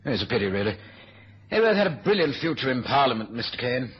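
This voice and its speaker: Husky, courteous older British politician. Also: male voice, mid-range voice, big pitch swings, fast. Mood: grateful. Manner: urbane, polite, gently hesitant. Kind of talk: 1970s radio drama acting. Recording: muffled, lo-fi old radio recording.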